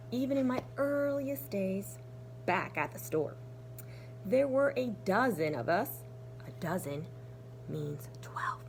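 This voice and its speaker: A woman teacher reading to young children in an expressive, deliberate storytelling manner. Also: mid-range voice, slight background noise. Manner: animated, deliberate storytelling with hushed, breathy asides. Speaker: kindergarten teacher. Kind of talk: expressive children's storybook read-aloud with asides.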